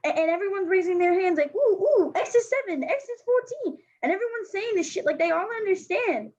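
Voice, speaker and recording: A woman's voice: very high voice, animated, a little fast, a little mellow. A woman playing a blunt, slangy, defensive fourteen-year-old girl skateboarder in a staged play reading. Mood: agitated, pleading. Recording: dull lo-fi recording.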